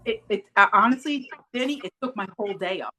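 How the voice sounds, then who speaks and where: A woman in a relaxed interview tells her story with real animation. She slips into acted-out quotes and laughs often, as though chatting with a friend. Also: medium pitch, fast talker. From Puerto Rican heritage. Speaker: podcast guest. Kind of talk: casual interview chat.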